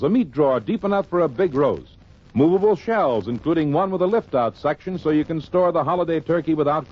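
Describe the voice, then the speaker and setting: A man's voice: fairly high pitch, husky. A man announcing a 1950s radio commercial with an emphatic salesman delivery. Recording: dull, muffled old radio recording.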